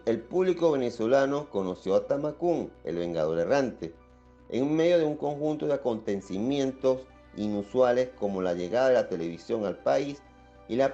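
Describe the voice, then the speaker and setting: Mellow male documentary narrator, slightly muffled. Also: mid-range voice. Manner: steady, even-paced narrating tone.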